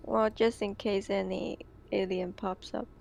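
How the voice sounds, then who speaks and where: A woman's voice: mid-range voice, little pitch movement, measured pace, vocal fry, a little mellow. A woman playing a tabletop game, talking in a playful way. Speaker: player. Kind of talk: casual tabletop game chat.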